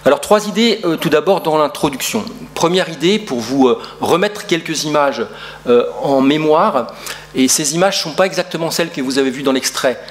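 Middle-aged man giving a hesitant lecture. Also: a little high. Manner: hesitant, many fillers and restarts. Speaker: urban historian lecturer. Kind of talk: academic lecture. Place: lecture room.

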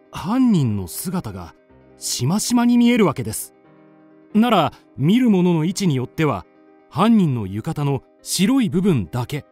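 Earnest young male amateur sleuth, radio drama; very expressive, deliberate, polite, slightly high voice. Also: full character voice. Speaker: narrator and lead character. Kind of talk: radio drama acting and narration.

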